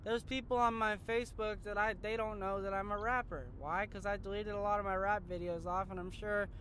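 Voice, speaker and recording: A man's voice: high voice, flat delivery, a little fast, a bit bright. A young man hosting a casual podcast from a moving car, rambling and joking with plenty of swearing. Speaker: podcast host. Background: faint road noise.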